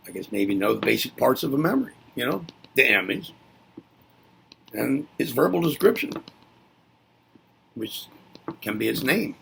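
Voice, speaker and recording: Gravelly, musing male lesson presenter. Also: mid-range voice, fairly bright tone, a little hiss or hum. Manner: musing, repeats himself, asks and answers rhetorical questions. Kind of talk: reflective spoken lesson.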